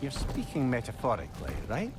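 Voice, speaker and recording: A man's voice: mid-range voice, wildly swooping pitch, brisk, heavy background noise. A man playing a witty, avuncular older talking head in a video game, a learned storyteller with a Scottish accent and wry, eloquent delivery. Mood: wistful, teasing. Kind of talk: theatrical video game acting.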